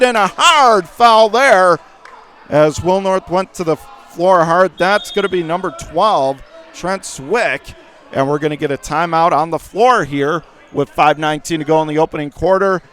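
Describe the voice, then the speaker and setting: A man doing live radio play-by-play of a basketball game in punchy short phrases, getting excitable on big plays. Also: sits high, smooth. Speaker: radio play-by-play sports announcer. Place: indoor school gymnasium during a game.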